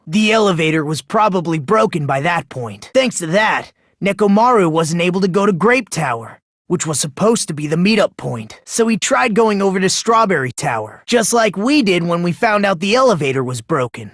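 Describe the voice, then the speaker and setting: Breathy young anime game guy, done by an actor, creepy polite, puts himself down, hope obsessed, theatrical, dry close recording. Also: mid-range voice, expressive, normal speed, no room sound, close to an everyday voice. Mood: smug, theatrical. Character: eerily polite young man, self-deprecating, obsessed with hope. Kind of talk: anime-style video game voice acting.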